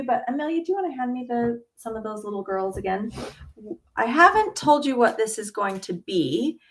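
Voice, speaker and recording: Woman, middle-aged, casual livestream host, chatty, filler words, home studio, mid pitch. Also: gravelly. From Utah. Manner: chatty, with frequent ums and uhs. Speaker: quilting channel host.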